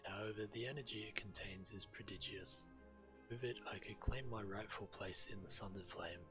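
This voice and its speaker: A man's voice: lowish voice, normal speed. A man who streams games, reading quest text aloud and commenting as he plays. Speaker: game streamer. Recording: game music behind the voice. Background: video game music.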